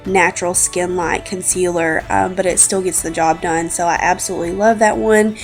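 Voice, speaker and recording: A woman's voice: fairly low pitch, fairly even intonation, normal speed. Young woman with a slightly scratchy, congested voice. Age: 20s. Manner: chatty, informal. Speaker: beauty vlogger, host. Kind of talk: casual makeup tutorial narration. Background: background music.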